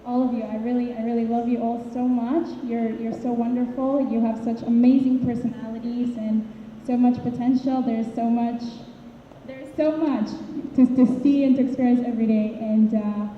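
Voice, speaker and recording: A woman from Peru with a fairly high voice says goodbye as a Hebrew school teacher, stopping and restarting her sentences as she speaks. The sound is tinny and phone-like. It echoes around a hall with a long reverb and a faint hiss underneath. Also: steady tone, normal speed. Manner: hesitant, many restarts and fillers. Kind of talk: emotional farewell speech.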